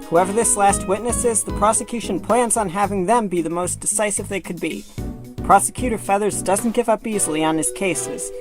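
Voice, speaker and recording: A woman's voice: medium pitch, fairly quick, over music, lightly acted. A woman playing an earnest, determined animal ally of a lawyer in an amateur audio drama.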